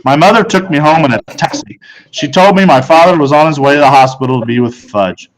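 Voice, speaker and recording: A man's voice: a little high, a little fast, low-quality recording. Man reading a children's story aloud. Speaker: storybook reader. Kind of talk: children's audiobook read-aloud, first-person narration.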